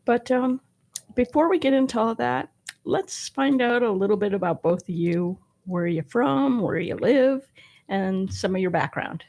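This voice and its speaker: A woman's voice: medium pitch, expressive, measured pace, darkish tone. A woman co-hosting a local radio talk show, introducing guests and asking them questions in a friendly way. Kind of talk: casual radio chat. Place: radio studio.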